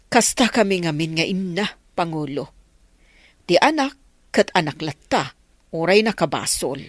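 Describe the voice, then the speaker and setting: Expressive, pious mother played by an actress. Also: lowish voice, unhurried, no echo, clean studio sound. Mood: persuasive. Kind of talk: Ilocano radio drama acting.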